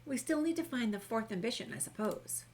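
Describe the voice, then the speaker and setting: Playful, higher-voiced woman gamer. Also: lively intonation, brisk. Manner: playful, chatty, talks back to characters. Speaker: gamer doing a video game walkthrough. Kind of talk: casual let's play commentary.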